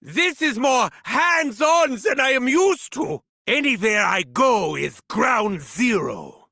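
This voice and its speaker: A man's voice: very high voice, very animated, takes it slowly, creaky, pushed and bright, no echo, studio quality, full character voice. A man playing an arrogant, gleefully sadistic German mad scientist in a video game, with a German accent and theatrical, pompous diction. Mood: haughty, wry. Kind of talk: theatrical game character barks.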